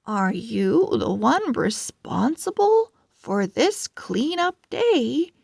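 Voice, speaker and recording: A woman reading the part of a kindly elderly woman in a storybook, reminiscing gently and deliberately. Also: a little high, big pitch swings, vocal fry, slightly rough, no room sound, clean studio sound, heavily acted. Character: kindly elderly woman reminiscing about her childhood park.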